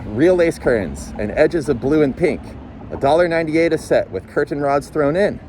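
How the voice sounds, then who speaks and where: A man's voice: on the high side, expressive, moderate pace, darkish tone, voice pushed up, close to an everyday voice. Guy reading as a plaintive pleading wife from a novel, quavery, outdoor street noise behind. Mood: eager, breathless. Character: tearful pleading wife wanting nice things.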